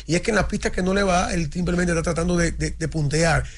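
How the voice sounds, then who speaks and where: A man talking sports on a studio talk show, rushing through his points at high speed. His voice sits somewhat high, and he stumbles, restarts and repeats himself as he goes. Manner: animated, talks fast with restarts and repeats. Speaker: motorsport commentator.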